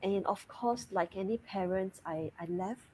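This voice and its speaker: A woman in her thirties from Singapore with a Singaporean English accent, a guest chatting openly in an interview over a video call, often breaking into soft laughs mid-sentence. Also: mid-range voice, moderate pace, slight background noise. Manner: laughs lightly mid-sentence, relaxed and open. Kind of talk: casual interview chat.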